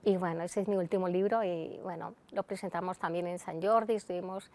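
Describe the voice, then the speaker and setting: A woman's voice: on the low side, steady tone, brisk, darkish tone. A middle-aged woman from Colombia, a poet, talking thoughtfully in an interview with many fillers and restarts. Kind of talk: conversational interview.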